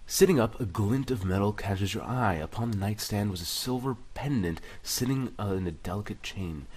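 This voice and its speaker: A man's voice: fairly low pitch, expressive, moderate pace, darkish tone, slight background noise. A man hosting a let's play, casually reading game text aloud with some stumbles and commenting on it. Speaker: gaming video host.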